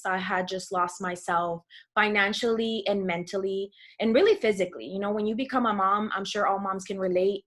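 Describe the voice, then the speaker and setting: A woman's voice: mid-range voice, fairly bright tone. A woman from Costa Rica, a health coach, sharing a heartfelt personal testimonial on a video call. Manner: earnest, heartfelt, grateful.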